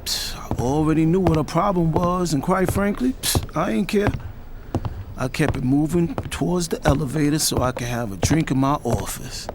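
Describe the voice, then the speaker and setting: A man's voice: fairly high pitch, normal speed, background noise, natural voice. An American man playing a hot-tempered, swaggering record label boss who narrates his own story in brash slang for an audio drama. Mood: unbothered, cocky. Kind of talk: first-person audio drama narration with dialogue. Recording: loud music behind the voice.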